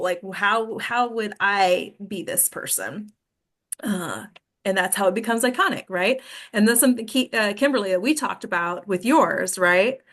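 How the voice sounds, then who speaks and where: A woman's voice: mid-range voice, a bit bright. A woman hosting and coaching actors in a casual, instructive discussion, often checking in with short tag questions. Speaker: host and acting coach leading a discussion.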